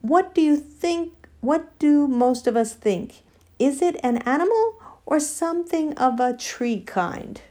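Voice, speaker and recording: A woman voicing a dignified, thoughtful and self-satisfied she-elephant from a storybook, with polite, deliberate phrasing. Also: high-pitched, lively intonation, dark tone, voice pushed up. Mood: thoughtful, taking charge. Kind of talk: storybook character voice.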